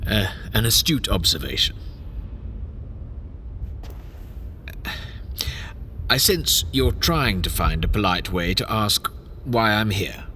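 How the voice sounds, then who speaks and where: Low voiced courtly schemer, bright and flattering, audio drama. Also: male voice, slight background noise. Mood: polite, probing. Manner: unctuous, courteous, chuckling, deliberate. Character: smooth, scheming royal chamberlain, flattering courtly manipulator.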